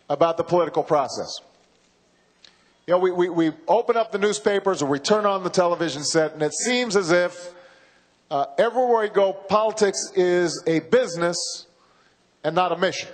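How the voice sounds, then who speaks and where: Man, forties, campaign speech, high voice, halting with many uhs, long hall reverb. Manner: halting, measured, with many uhs and repeated words. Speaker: political candidate. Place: large university hall.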